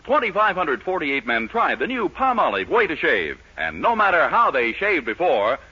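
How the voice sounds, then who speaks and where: A man's voice: fairly high pitch, huge pitch swings, moderate pace, sharp tone, slightly rough. A man announcing a 1940s radio show, reading scripted copy with an emphatic, polished salesman's delivery. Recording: dull, lo-fi 1940s radio recording.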